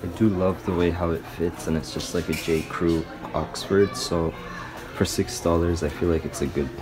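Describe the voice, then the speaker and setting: Low-voiced young man chatting casually. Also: steady tone, normal speed, music in the background. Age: young adult. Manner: rambling, loose and slangy, with frequent "like". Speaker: vlogger. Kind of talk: casual vlog chat. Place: parked car, later small store fitting room. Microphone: camera or phone at arm's length.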